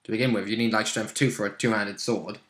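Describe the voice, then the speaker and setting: A man's voice: on the low side, fairly even intonation, fast talker, fairly bright tone. A man chatting casually about the rules and story of a tabletop role-playing game as a co-host. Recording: roomy, echoing room sound.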